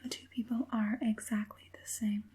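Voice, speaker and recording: A woman playing an evil witch puppet master in an ASMR roleplay, whispering softly in a slow, soothing and quietly persuasive way. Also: on the high side, level tone, a little hiss or hum, lightly acted. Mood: calm, methodical. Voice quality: breathy whisper.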